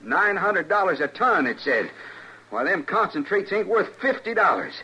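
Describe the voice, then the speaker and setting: A man's voice: high-pitched, big pitch swings, normal speed, bright, forceful tone, breathy edge, heavily acted. A man playing a greedy, indignant American frontier schemer, whining and blustering in an old-time radio western. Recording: muffled, hissy old radio broadcast.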